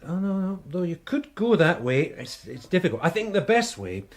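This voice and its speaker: Easy-going police sergeant, animated, chatty and joking, radio drama. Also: male voice, sits high, moderate pace. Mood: flustered, helpful. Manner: chummy, joking, rambling. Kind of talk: naturalistic British radio drama acting.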